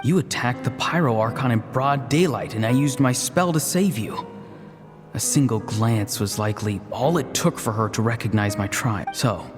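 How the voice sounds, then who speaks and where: A man playing an earnest, determined young spellcaster in a video game, speaking deliberately. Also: mid-range voice, close to an everyday voice. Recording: long reverb, clear music behind.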